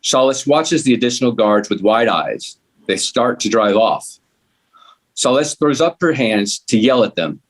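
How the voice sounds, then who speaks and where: A man narrates a script at a table read, giving scene headings and action in a measured, plain delivery. His pitch sits in the middle range for a male voice. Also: expressive. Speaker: stage directions reader.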